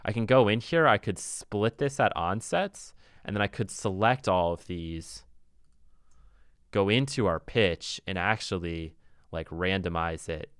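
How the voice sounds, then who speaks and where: Low, smooth-voiced man giving a tutorial. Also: measured pace, fairly bright tone, no echo, clean studio sound. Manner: informal, thinking aloud while demonstrating. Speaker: music production tutor. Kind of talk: casual software tutorial talk.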